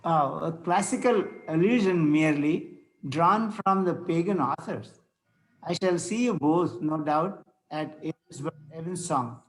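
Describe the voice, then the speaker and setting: A man's voice: fairly high pitch, a little slow, soft background music, rough recording. A man reading the part of a courtly, scholarly rector in a Victorian comedy table read, formal and a little hesitant. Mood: genial.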